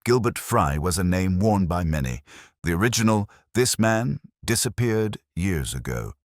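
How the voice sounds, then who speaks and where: A man narrating a scripted mystery story in a measured, formal way. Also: low-pitched, very animated, no echo, studio quality. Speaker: audiobook narrator.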